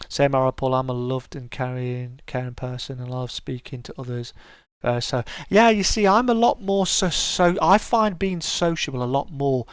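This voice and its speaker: A man's voice: medium pitch, moderate pace, dry recording, clean studio sound. A middle-aged man with a soothing voice chats casually with his live-stream viewers, friendly and full of restarts. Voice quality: smooth, soothing voice. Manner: chatty and friendly, with many restarts and stammers. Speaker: streamer hosting a live chat. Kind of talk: casual live-stream chat, reading and answering comments.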